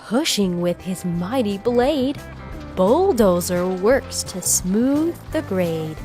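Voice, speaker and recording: A woman reading a children's bedtime story aloud, expressively, with gentle, drawn-out phrasing and acted emphasis. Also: mid-range voice, animated, faint music behind. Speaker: storybook reader.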